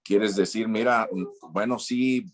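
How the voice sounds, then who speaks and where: Halting low-voiced Mexican man. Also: fairly even intonation. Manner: halting, many restarts and repeated words. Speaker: guest, former missionary. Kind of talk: reflective interview answer.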